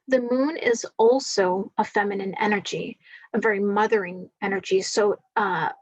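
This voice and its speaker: A woman with a groggy voice giving a spiritual forecast, talking slowly and wandering with many fillers. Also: medium pitch, no room sound, lo-fi sound. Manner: slow, meandering, many fillers. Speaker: spiritual reader.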